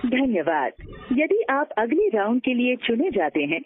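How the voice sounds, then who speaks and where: Casting: woman for automated phone menu, formal scripted prompts, animated intonation, tinny telephone recording. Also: fairly high pitch, unhurried, faint music behind. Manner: formal, instructional. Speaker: automated phone-line announcer. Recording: tinny band-limited phone line recording.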